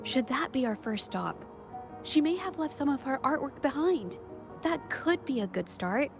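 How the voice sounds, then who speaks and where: An actress voicing a gentle, earnest young woman painter in a video game, speaking thoughtfully and politely. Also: high voice, very animated, normal speed, music in the background. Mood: thoughtful, puzzled. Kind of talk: English video game voice acting.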